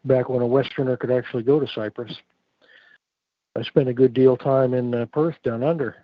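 A man's voice: medium pitch, fairly even intonation, a little fast, dry recording. A man who is a guest on a podcast, telling stories in a rambling way with many restarts. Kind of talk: casual chat. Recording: muffled lo-fi sound.

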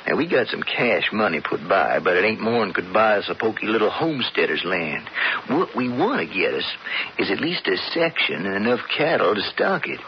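An actor playing a scheming older brother turned stage robber in a radio western, with a folksy, persuasive American Western drawl. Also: male voice, on the low side, very animated, normal speed. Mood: sly, resentful. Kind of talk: radio western drama acting. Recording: muffled, noisy old radio broadcast.

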